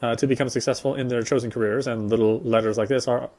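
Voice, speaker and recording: A man reading a visual novel's story narration aloud as a gameplay streamer, in a measured way. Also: mid-range voice, steady tone, darkish tone.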